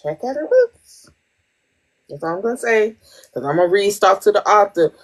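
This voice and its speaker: A woman host running a casual book podcast. She reacts bluntly and playfully to her guest. Her voice sits at a middle pitch. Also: very animated, moderate pace.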